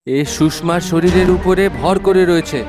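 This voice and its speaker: A man's voice: sits high, normal speed, music in the background, close to an everyday voice. A man playing an earnest, brave village husband in a cartoon drama, speaking in a declamatory way. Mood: urgent.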